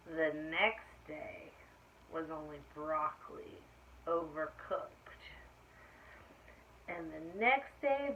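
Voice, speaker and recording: A woman reading a children's picture book aloud expressively, pausing to put questions to her listeners. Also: on the low side. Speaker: storytime reader. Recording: muffled lo-fi sound with faint noise.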